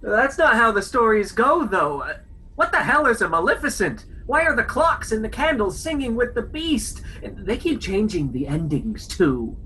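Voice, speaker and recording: A man playing a stubborn, pompous defender of old fairy tales in a radio play, indignant and raspy. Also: high-pitched, sing-song, moderate pace, bright, forceful tone, slight background noise, heavily acted, rough recording. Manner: pompous, exasperated.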